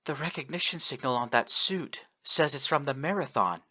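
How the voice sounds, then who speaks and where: An excited young ensign, as a male narrator puts him on, rattling off readings in a lifted, high voice. The delivery is quick and eager in a storybook reading.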